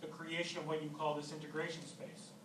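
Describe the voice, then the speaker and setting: Male audience questioner, fairly high voice, polite, far off-mic in an echoey hall. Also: completely flat delivery, a little fast. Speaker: audience member asking a question. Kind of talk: asking a question at a symposium. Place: university lecture hall. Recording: roomy hall, distant mic, noisy.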